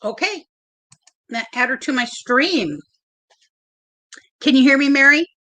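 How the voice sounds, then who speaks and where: A woman hosting a casual livestream, chatty and a little nervous, with a loud, big voice. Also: high-pitched, lively intonation, measured pace. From Oregon. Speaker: livestream host.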